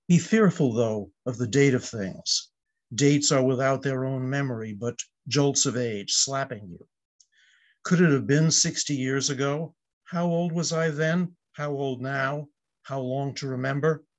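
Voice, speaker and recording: An older man in about his seventies reading his poem aloud over a video call, with measured, reflective phrasing. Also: mid-range voice. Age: older, about 70s. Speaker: poet at an open mic. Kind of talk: poetry reading.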